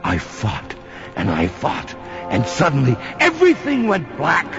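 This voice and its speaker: An actor playing a haunted, melodramatic man who tells a ghoulish horror tale with theatrical pauses and repetitions. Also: a little high, wildly swooping pitch, creaky, heavily acted. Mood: terrified, frantic. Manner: theatrical, halting repetitions for suspense. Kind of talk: dramatic horror storytelling. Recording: dull recording under loud music.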